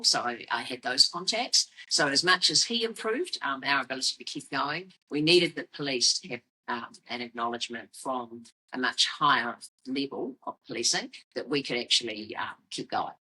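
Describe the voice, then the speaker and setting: A middle-aged woman with a New Zealand accent and a husky voice, telling a business story conversationally in measured, explanatory phrases. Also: extremely low pitch, sharp tone, low-quality recording. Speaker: fashion business founder on a company podcast.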